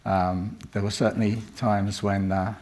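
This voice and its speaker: An older man with a croaky voice from a cold, giving a rambling conference talk full of ums and restarts. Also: fairly low pitch, level tone, measured pace, a little mellow. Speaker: conference speaker. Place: conference room.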